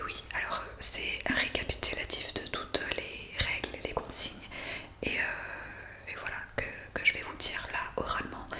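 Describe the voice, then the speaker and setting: A woman doing an ASMR roleplay in a breathy whisper, explaining things politely and hesitantly, close to the microphone. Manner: hesitant, improvised explaining with polite formal address. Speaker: ASMR roleplayer playing a zombie intake worker. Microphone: close USB condenser microphone.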